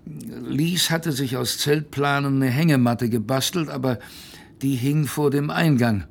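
An actor playing a plain-spoken former polar sailor, narrating a radio play as a wry, reflective recollection with a gravelly, husky voice. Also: male voice, medium pitch, unhurried. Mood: wry, amused. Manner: colloquial, hesitant, wry, reflective.

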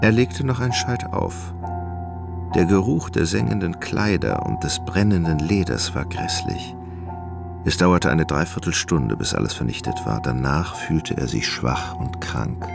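A man narrating a literary radio drama in a measured, dramatic storytelling manner, in German studio style. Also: extremely low pitch, lively intonation, gravelly. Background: plucked guitar music.

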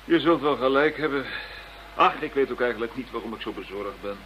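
An actor playing an anxious, irritable investigator in a radio play, agitated and often hesitating or repeating himself. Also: male voice, mid-range voice, animated, a little fast, a bit bright, natural voice, rough recording. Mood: worried. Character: anxious, irritable male investigator, protective of colleagues. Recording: radio play with faint background noise.

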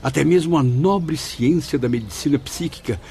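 A man's voice: fairly high pitch, moderate pace, creaky, mellow, a little hiss or hum. A man playing an earnest, grateful spirit student in a radio drama, speaking formally and reverently. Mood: grateful, humble. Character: Camilo, earnest, grateful male spirit student recounting his afterlife. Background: background music.

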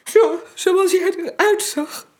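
A woman acting in a Dutch radio play as a stern widowed mother in her forties, speaking gravely. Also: very high voice, slow, darkish tone. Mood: distraught.

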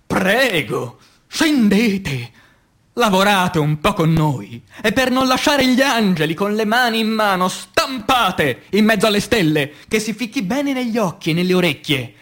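A man reciting poetry as radio theatre in speech, declamatory, with dramatic pauses, moving between hushed and emphatic delivery. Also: high voice, animated. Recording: music under the voice. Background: music.

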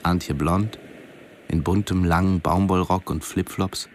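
A reserved middle-aged diving instructor tells his story in a radio drama. His voice is low and his delivery sober and detached. There is faint noise behind him. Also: fairly even intonation, measured pace. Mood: sober, reflective. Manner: sober, matter-of-fact narrating. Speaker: narrator and character. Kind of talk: radio drama narration and dialogue.

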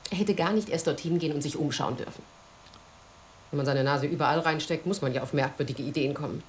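Actress as low-voiced, scornful wife. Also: female voice, a little hiss or hum, lightly acted. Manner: mocking, dismissive, brisk. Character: sceptical, scornful, impatient wife. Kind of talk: radio play acting.

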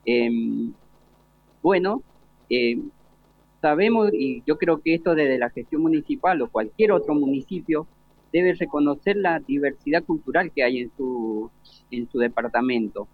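A man's voice: on the high side, expressive, a little slow. A man explaining things on a panel as a municipal official. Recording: thin phone-like radio broadcast.

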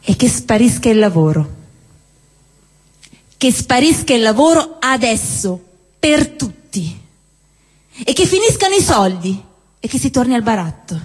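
A woman's voice: a little high, very animated, a little slow, pauses often, darkish tone. Woman reciting dramatically in a reverberant hall. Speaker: stage performer at a live show. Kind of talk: theatrical spoken-word monologue recital. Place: indoor cinema-theatre venue with long reverb. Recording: reverberant hall, live stage performance.

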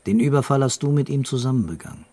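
An actor playing a tough, weary police investigator in a German radio crime drama, blunt and interrogating. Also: male voice, medium pitch, very animated, quick pace, dark tone, husky. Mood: stern warning.

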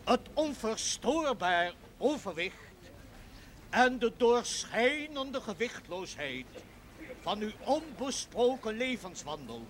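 An actor playing a pompous, old-fashioned aristocratic baron who declaims a formal, grandiloquent speech in a television period drama. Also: male voice, high-pitched, sing-song, takes it slowly, fairly bright tone, slight background noise, heavily acted. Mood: florid, reverent.

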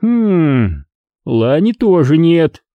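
A man's voice: high voice, very animated, normal speed, dry recording, phone-quality sound, voice pushed up. Man playing a stern, exasperated storybook father. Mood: grudging. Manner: scolding, commanding.

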